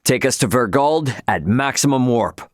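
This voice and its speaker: An actor playing a brash, hot-headed but loyal young starship captain in a video game, with a blunt, cocky, slangy delivery. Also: male voice, medium pitch, lively intonation, moderate pace, no echo, studio quality, lightly acted. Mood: serious, businesslike. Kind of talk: voiced video game character lines.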